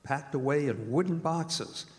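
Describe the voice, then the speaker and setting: A man acting as a solemn storyteller, narrating an old-time radio drama in deliberate, suspenseful phrases. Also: on the high side, natural voice. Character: solemn storyteller reporting eerie events. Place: performing arts theatre stage. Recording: long reverb of a stage hall.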